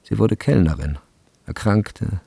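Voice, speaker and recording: A man narrating a literary radio play in a measured, sober and precise manner. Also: fairly low pitch, vocal fry, mellow, a little hiss or hum.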